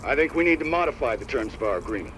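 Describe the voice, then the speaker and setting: An actor playing a suspicious, demanding military colonel in a video game, clipped and confrontational, over a radio link. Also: male voice, mid-range voice, lively intonation, racing, close to an everyday voice. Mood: suspicious, indignant. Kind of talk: scripted video game drama. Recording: noisy radio link surveillance audio.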